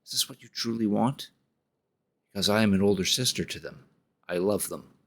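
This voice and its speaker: A man streaming a game, reading its story text aloud in a measured way and commenting on his play. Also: fairly low pitch.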